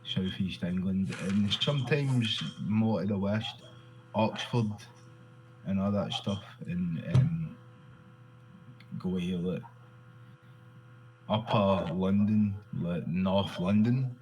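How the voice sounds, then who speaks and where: A man with a Glaswegian Scottish accent talks slowly in a loose, rambling way, often restarting and swearing as he goes. His voice sits fairly low. A faint hum runs under the recording. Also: darkish tone, poor audio. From Glasgow, Scotland. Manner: loose, rambling, swears freely, repeats himself. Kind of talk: casual conversation.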